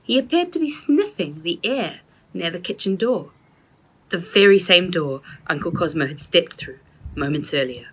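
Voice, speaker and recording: A woman's voice: fairly low pitch, animated, normal speed, breathy edge, poor audio. A woman narrating a children's storybook as the author reading her own work.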